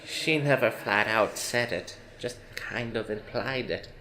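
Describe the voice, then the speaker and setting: A man's voice: lowish voice, a little slow, pushed and bright, a little hiss or hum, low-quality recording. A man playing a hesitant grown son looking back, in an audio drama. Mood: reflective. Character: hesitant adult son recalling his father.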